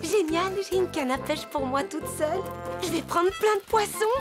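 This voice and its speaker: An eager little cartoon animal girl speaks in a high voice, fussing over signs of bad luck. Loud music runs behind her. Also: lively intonation, moderate pace.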